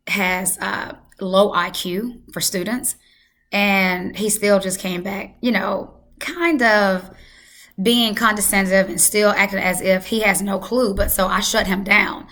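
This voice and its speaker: A woman hosting a health channel, hoarse from losing her voice, talking casually and emphatically to her viewers. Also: mid-range voice, fairly bright tone. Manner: emphatic, repeats for effect. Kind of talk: casual talk to camera.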